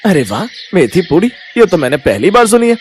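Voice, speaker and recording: A man voicing an eager, chatty street-food customer in an animated moral story, full of enthusiasm. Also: sits high, a little fast, slight background noise.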